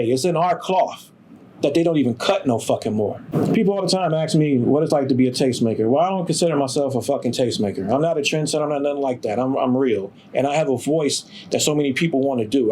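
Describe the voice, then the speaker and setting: A middle-aged man, speaking in a slightly higher register than most men. He talks in a streetwise, emphatic and profane way, as in a documentary interview. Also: darkish tone, slight background noise. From Detroit, USA. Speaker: sneaker store owner.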